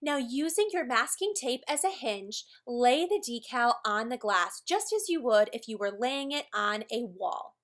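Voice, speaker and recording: A woman with a high-pitched voice narrates an instructional video. She presents from a script, walking through each step plainly and in careful order. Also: animated, a little slow, hardly any pauses, fairly bright tone. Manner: clear step-by-step explaining. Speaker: tutorial presenter for a decor company.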